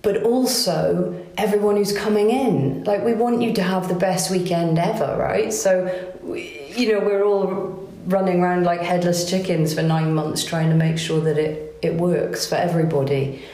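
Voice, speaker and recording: A woman's voice: lowish voice, moderate pace, few pauses. Chatty Welsh-raised woman, echoey room. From Wales. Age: 40s. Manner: chatty, warm laughs, many restarts. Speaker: competition organiser. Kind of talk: casual interview. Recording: roomy echo.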